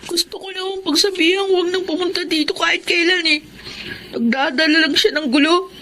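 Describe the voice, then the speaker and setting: A woman's voice: extremely high pitch, fairly even intonation, brisk, pushed and bright, slight background noise. An actress playing an earnest, long-suffering young woman in an emotional radio drama. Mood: resentful.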